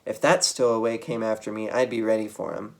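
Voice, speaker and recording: A man reading a children's book aloud as a storytime reader, with dramatic, expressive delivery. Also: on the low side, fairly quick, gravelly.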